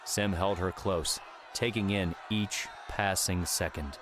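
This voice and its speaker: Low-pitched male audiobook narrator. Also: fairly even intonation, takes it slowly, crowd noise, noisy background. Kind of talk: scripted story narration.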